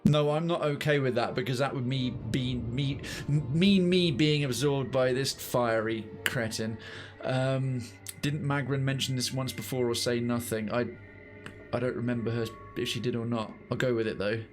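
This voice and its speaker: A man's voice: mid-range voice, steady tone, normal speed. A male streamer with a mid-range voice gives casual, rambling game commentary. He is wry and chats to viewers over faint music. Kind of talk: casual let's play commentary, chatting to viewers.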